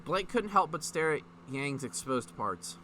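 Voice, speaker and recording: A man's voice: a little high, very animated, a little slow, pushed and bright, slight background noise. A man reading a story aloud in a mocking, informal way, breaking off to add sweary, incredulous commentary. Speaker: online video host reading fan fiction aloud.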